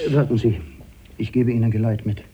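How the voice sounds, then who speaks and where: An actor playing a proud, ambitious general in a German radio play, speaking in a clipped, formal, grave way. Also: male voice, mid-range voice, fairly even intonation, a little fast, mellow, slight background noise, lightly acted. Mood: wary, resolute. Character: proud, ambitious male general, guarded and terse. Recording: dull, muffled lo-fi recording.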